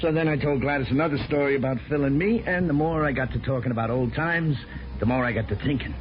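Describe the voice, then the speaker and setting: An actor playing a meek henpecked husband, a reformed crook, with hesitant stammering speech in 1950s radio drama style. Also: male voice, mid-range voice, fast, over music, natural voice. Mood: chatty, reminiscing. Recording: muffled, noisy 1950s radio recording.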